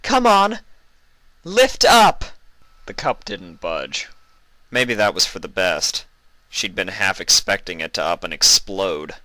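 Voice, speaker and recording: A man reading stories as a host, with expressive narration into a close microphone. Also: sits low, no echo. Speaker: story reader and channel host. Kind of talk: expressive scripted storybook narration with casual introduction.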